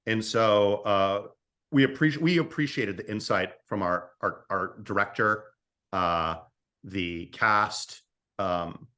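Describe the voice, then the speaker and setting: A man's voice: lowish voice, slow, sharp tone. A man speaking as an interview guest and writer, casually discussing creative work, often repeating and restarting his phrases.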